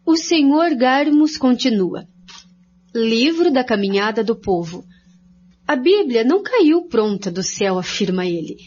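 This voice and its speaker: A woman's voice: on the high side, big pitch swings, measured pace, darkish tone, slightly rough, exaggerated voice. An actress playing an earnest woman expositor in a scripted radio drama, laying out points one by one in a didactic way. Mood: solemn, explaining. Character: woman researcher Jacira, earnest, didactic study-group expositor. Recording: dull, lo-fi recording.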